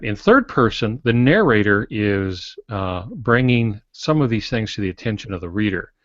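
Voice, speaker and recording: A man co-hosting a podcast, teaching conversationally in a measured, instructive manner. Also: fairly low pitch, vocal fry. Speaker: podcast co-host. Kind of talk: conversational teaching on fiction writing. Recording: roomy, slightly muffled podcast recording.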